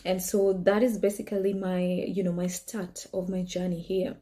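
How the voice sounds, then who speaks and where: A woman's voice: lowish voice, moderate pace. A young woman from Kenya, not a native English speaker, telling her personal story earnestly with many "you know"s. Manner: earnest and animated, with frequent "you know" and laughs. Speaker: vlogger.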